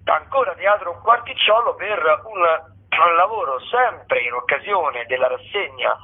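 A man, a regular radio critic, informally presenting event listings in a halting, wordy, digressive way over the phone. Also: a little high, expressive, husky. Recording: muffled, narrow-band phone line.

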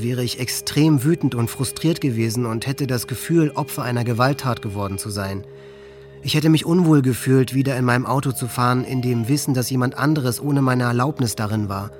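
A man's voice: mid-range voice, brisk, faint music behind, natural voice. Intense, cynical teenage boy played by an actor. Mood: feigned contrition. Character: angry teenage boy plotting violence, manipulative and boastful. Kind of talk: German radio docudrama acting, diary monologue.

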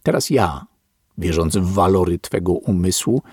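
A man narrating a philosophical text in a solemn, deliberate, sermon-like reading. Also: low-pitched, darkish tone, husky, no room sound, clean studio sound. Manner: slow, weighty and deliberate, like a sermon.

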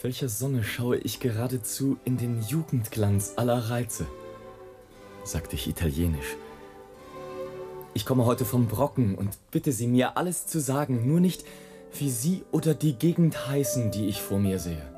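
An actor playing a romantic, ironic balloonist who narrates poetically and deliberately in a literary radio play. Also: male voice, medium pitch, lively intonation, over music, natural voice. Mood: gallant.

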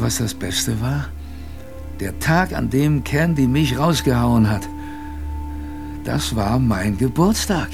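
A man recounting his youth on a polar expedition for a radio drama. His voice is medium in pitch and noticeably gravelly. He speaks plainly and earnestly, pausing and repeating himself as in spoken storytelling, with music underneath. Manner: conversational storytelling with pauses and repetitions. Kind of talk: first-person radio drama narration.

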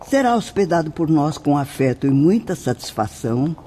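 A venerable, devout Brazilian nun teaches in a radio drama. Her delivery is solemn and declamatory, with wide swings of pitch. The tone is soft and mellow, over clear background noise. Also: very animated, normal speed. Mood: welcoming. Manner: solemn, declamatory, tenderly instructive. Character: venerable, devout nun guiding spirits in the afterlife.

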